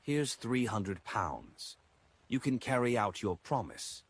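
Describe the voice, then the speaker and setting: A man's voice: fairly low pitch, big pitch swings, slow, slightly rough, pitched above the performer's own voice, full character voice. A man voicing an anxious, stingy chubby apothecary who speaks hesitantly in an audiobook. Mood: uneasy, bargaining. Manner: hesitant, unsure.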